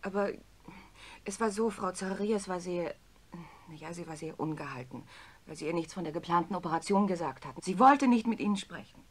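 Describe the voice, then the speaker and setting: A deferential, flustered secretary in a radio play. Her voice is fairly low and husky, and she talks rapidly. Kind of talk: German radio drama acting.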